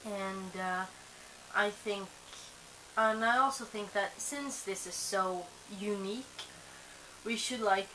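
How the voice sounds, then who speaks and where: A woman's voice: medium pitch, slow, long pauses, bright, forceful tone, poor audio. A young woman whose first language is Swedish, chatting casually to camera, rambling with lots of fillers and restarts, a bit sleepy. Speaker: vlogger. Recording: faint hiss.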